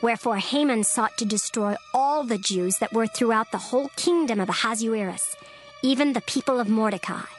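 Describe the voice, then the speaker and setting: A woman narrator reading dramatized scripture in a measured, formal storytelling manner. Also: a little high, soft background music.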